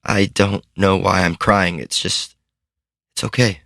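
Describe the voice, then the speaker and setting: A man's voice: low-pitched, steady tone, measured pace, vocal fry, bright, forceful tone, dry recording, studio quality, full character voice. A man voicing a timid young boy who talks in a hesitant, self-doubting way. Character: timid, tearful young boy, unsure of himself.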